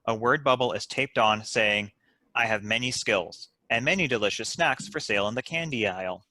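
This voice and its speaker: A man's voice: mid-range voice, moderate pace, pushed and bright, no echo. A man reading out stage directions as the narrator of a scripted table read.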